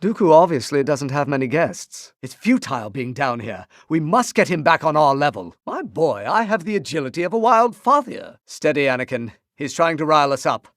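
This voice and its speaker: A man's voice: on the high side, sing-song, normal speed, hardly any pauses, fairly bright tone, dry recording. A male actor playing a composed, wry Jedi master in a video game, with a refined British accent and dry, formal phrasing. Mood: measured, cautious. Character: composed, wry Jedi master, adult man mentoring an apprentice. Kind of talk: video game voice clips, short barks and dialogue lines.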